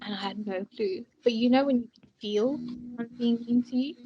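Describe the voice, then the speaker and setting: A woman's voice: on the high side, moderate pace, highs cut off, faint music behind. A young woman from Bangladesh, a student union president, chatting casually and laughing often as she tells her story. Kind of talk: casual chat while eating snacks. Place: indoors, student union room.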